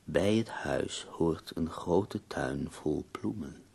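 An actor playing an ailing, intense middle-aged poet in a radio play, husky and gravelly, speaking with theatrical emphasis. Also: male voice, extremely low pitch, takes it slowly, darkish tone, exaggerated voice. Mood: measured. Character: ailing, intense male German poet dictating to a woman.

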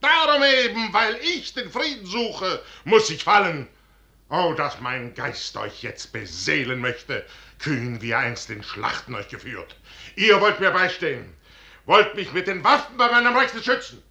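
Classical radio drama: embattled warlord, grandiose delivery, sweeping pitch, reverberant sound. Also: male voice, big pitch swings, a little slow, gravelly, pushed and bright, heavily acted. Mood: solemn, rousing. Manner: declamatory, grandiose, emphatic. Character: proud, commanding, embattled army general. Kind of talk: classical German verse radio drama acting.

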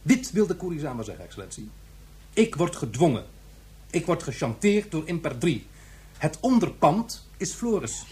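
Pedantic male professor for sci-fi radio play: higher voice, insistent, precise, very animated pitch. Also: moderate pace. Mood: emphatic. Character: stubborn, earnest male professor arguing his case. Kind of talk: Dutch science fiction radio drama acting.

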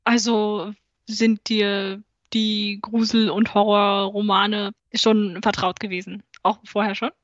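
A woman's voice: medium pitch, little pitch movement, takes it slowly, no room sound. A woman co-hosting a casual interview and asking the guest questions. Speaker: co-host. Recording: dry, dull lo-fi sound.

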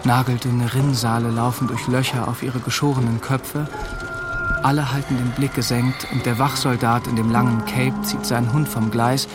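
Ein Schauspieler als stiller, achtzehnjähriger Soldat, der im Hörspiel schlicht und beobachtend von sich erzählt und spricht. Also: male voice, medium pitch, little pitch movement, normal speed, lightly acted. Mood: pensive. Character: quiet, observant eighteen-year-old boy soldier Walter. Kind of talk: German radio drama acting and narration. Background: music.

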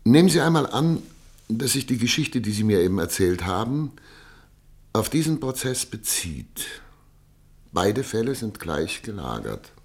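A man playing a self-assured former prosecutor, now a judge, in a German radio play, with deliberate, oratorical delivery. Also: fairly low pitch, big pitch swings, a little mellow. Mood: genial, deliberate. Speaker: actor in radio drama.

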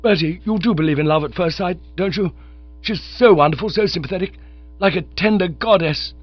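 A man reading aloud while voicing an excitable young gentleman who gushes about falling in love. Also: high-pitched, brisk, no echo, voice pushed up. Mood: gushing, smitten. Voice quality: gushing, breathless, eager. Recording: dull lo-fi recording.

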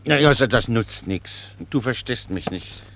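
An actor playing a naive, talkative, good-natured Czech soldier in a radio play, rambling on in a folksy, guileless way. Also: male voice, medium pitch, lively intonation, moderate pace, gravelly, a bit bright. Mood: chatty, protesting. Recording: noisy radio play recording.

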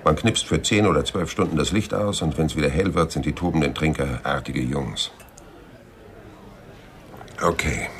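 Very deep, gravelly, world-weary detective. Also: male voice, normal speed, pauses often, a little hiss or hum. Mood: cool, matter-of-fact. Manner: dry, laconic, deadpan. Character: world-weary, sardonic male private detective, middle-aged. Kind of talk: radio crime drama acting and first-person narration.

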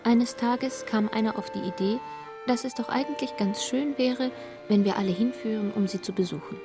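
An actress playing a pensive woman who recounts a story about her friend in a measured radio drama monologue. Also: fairly high pitch, music in the background, close to an everyday voice.

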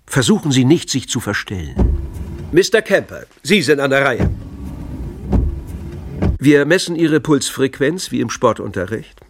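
A man's voice: medium pitch, sing-song, measured pace, pauses often, noisy background. An actor playing a coaxing male seminar instructor in a radio drama, speaking in an instructive, persuasive manner. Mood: coaxing, clinical. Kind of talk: naturalistic radio drama acting.

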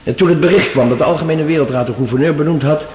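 A man's voice: fairly high pitch, very fast, darkish tone, lightly acted. Chatty curator in a very echoey cave male actor. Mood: gossipy, puzzled. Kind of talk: radio play acting. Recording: very echoey cave-like hall, faint noise.

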